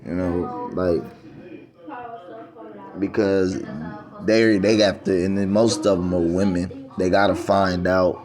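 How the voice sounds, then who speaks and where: A man's voice: sits low, steady tone, takes it slowly, pauses often, a crowd in the background. A man talking straight to camera in a rambling, insistent monologue, repeating himself for emphasis. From United States. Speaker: vlogger.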